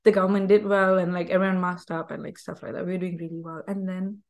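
A woman from Malaysia, speaking, chatting as a podcast guest in a lively, rambling way full of "like". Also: fairly low pitch, fast. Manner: chatty and animated, heavy use of "like". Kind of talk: casual conversational chat.

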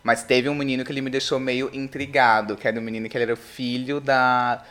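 Brief: São man, 30s, camp storyteller, mid-pitched. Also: flat delivery, quick pace, fairly bright tone. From Brazil, São Paulo area. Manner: camp, theatrical, laughs often. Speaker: podcast co-host. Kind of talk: casual storytelling chat.

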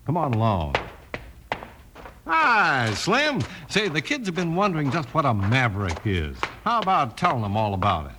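A man announces a vintage children's radio show in polished scripted style. His mid-range voice moves with very animated intonation, addressing young listeners as a narrator and pitchman. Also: moderate pace, noisy background.

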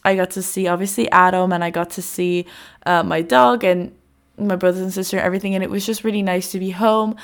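A woman's voice: fairly low pitch, fairly even intonation, fast. A young woman hosting her podcast in a loose, chatty way with lots of fillers, a little congested and nasal from a cold. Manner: chatty, rambling with many fillers. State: congested, a bit sick. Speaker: podcast host. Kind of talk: casual solo podcast chat.

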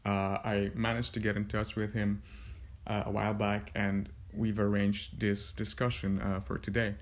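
A man hosting a podcast interview, speaking in a halting, formal way. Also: on the low side, level tone. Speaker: podcast host.

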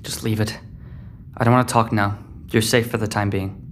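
A man's voice: on the low side, little pitch movement, moderate pace, a little hiss or hum, lightly acted. An actor playing a wounded, distrustful teenage boy in a sci-fi audio drama. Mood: guarded, frustrated.

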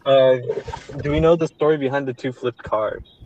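A man's voice: medium pitch, steady tone, normal speed, a little mellow, muffled recording. A teenage boy whose first language is Spanish, co-hosting a casual chat in an easygoing way and asking follow-up questions. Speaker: co-host.

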